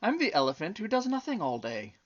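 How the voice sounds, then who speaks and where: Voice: mid-range voice, wildly swooping pitch, a little fast, muffled recording, voice pushed up. A storybook reader voicing a shy, happy male elephant who is easily surprised, in a wondering, hesitant manner. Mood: timid, pleased.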